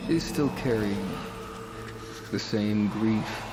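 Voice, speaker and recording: A man playing a weary, wry demon hunter with a gravelly voice and a measured, understated delivery in a dramatic motion comic. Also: on the low side, loud music behind the voice, low-quality recording. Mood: grave, somber. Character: weary, wry scarred demon hunter, burdened by his past.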